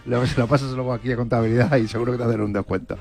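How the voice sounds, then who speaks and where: Fast-talking jokey Spanish-speaking male host. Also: medium pitch, steady tone, gravelly, darkish tone. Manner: jokey, theatrical, emphatic exclamations. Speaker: podcast host. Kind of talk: playful interview chat.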